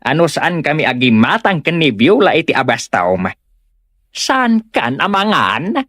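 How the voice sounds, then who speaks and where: A woman's voice: deep voice, very animated, a little slow, a bit bright, no room sound, studio quality, full character voice. A woman playing an exasperated, concerned relative who scolds in an Ilocano comedy audio drama. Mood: busy, concerned.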